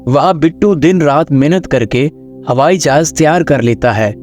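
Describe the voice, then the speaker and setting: A man with a fairly high voice narrates a scripted children's tale. He serves as the storyteller, with some music playing underneath. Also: expressive, normal speed, darkish tone.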